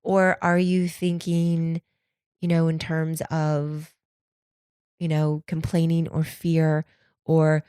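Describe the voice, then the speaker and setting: A woman's voice: sits low, completely flat delivery, slow, mellow, smooth, no echo, studio quality. A congested woman, starting to lose her voice, rambling candidly and casually as a solo podcast host, slangy and conversational. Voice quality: stuffy, congested nose. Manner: candid, conversational, slangy, acts out imagined quotes.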